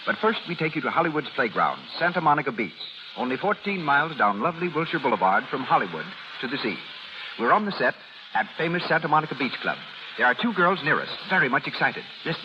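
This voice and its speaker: A man's voice: on the high side, very animated, fast. A man working as a 1930s radio announcer, reading scripted advertising with a polished, salesmanlike delivery. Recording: muffled, noisy vintage 1930s radio broadcast.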